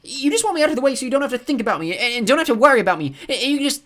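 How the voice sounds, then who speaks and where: A man voicing an angry boy yelling loudly in a high, strained voice. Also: sing-song, racing, heavily acted. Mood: furious. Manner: shouting, loud and high. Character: boy shouting in a furious outburst.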